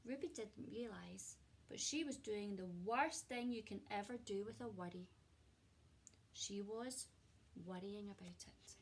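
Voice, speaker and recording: A woman with a medium-pitched voice reads a picture book aloud for children. Her delivery is expressive storytelling, pitched at young listeners as a teacher would. There is some light background noise in the recording. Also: a little slow.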